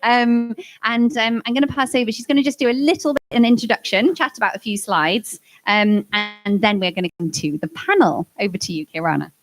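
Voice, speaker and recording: A woman's voice: fairly high pitch, brisk, few pauses, soft background music, rough recording. A woman hosting a live event, giving informal introductions in hesitant, broken phrases. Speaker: event host.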